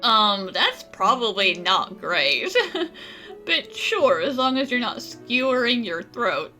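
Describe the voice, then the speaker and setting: Giggly, bright-voiced woman gamer. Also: fairly high pitch, sing-song, takes it slowly, faint music behind. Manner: giggly, hesitant asides. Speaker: gamer playing and commenting. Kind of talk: casual playthrough commentary, improvised dialogue.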